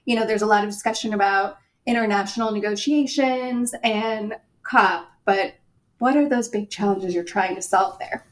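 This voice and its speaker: A woman's voice: mid-range voice, moderate pace. A woman hosting a podcast, asking open questions and giving brief acknowledgments. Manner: inquisitive, with frequent short backchannels. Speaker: podcast host. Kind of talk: interview questions.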